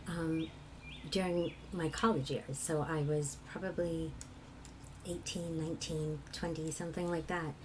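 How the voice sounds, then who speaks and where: Husky low-voiced woman from england telling stories, reflective, faint hiss behind her. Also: a little mellow. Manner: reflective, laughs often while telling stories. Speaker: kirtan singer. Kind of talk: conversational personal storytelling.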